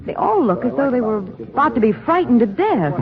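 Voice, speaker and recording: A woman's voice: fairly high pitch, very animated, brisk, crowd noise. An actress playing a poised, wry society lady in a 1940s radio drama, polite and dryly teasing. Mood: curious. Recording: scratchy, dull 1940 radio recording.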